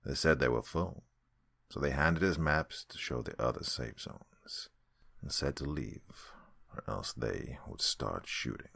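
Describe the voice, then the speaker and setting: Man playing defensive survivor father, very low, husky, gravelly, horror audio drama. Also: expressive, a little slow, a bit bright, heavily acted. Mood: wary, grim. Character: gruff, defensive adult father, wary survivor. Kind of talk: audio horror story character acting.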